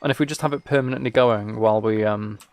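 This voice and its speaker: A man's voice: fairly low pitch, quick pace, clean tone. A British man streaming a game and chatting casually with viewers, musing aloud in an informal, rambling way. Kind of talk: casual chat while playing a game.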